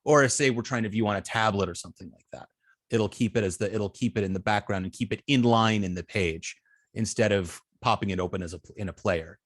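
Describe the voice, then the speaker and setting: A man giving a live coding tutorial as an instructor, explaining step by step with halting phrasing, frequent ums and self-corrections. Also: fairly low pitch, dry recording, clean studio sound. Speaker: web development instructor.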